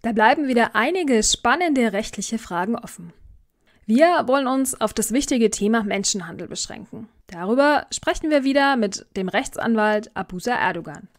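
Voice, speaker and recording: A woman's voice: on the high side, animated, normal speed. A woman presenting a podcast in a scripted style and putting questions to a guest. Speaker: podcast host. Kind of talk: scripted podcast moderation, interviewing.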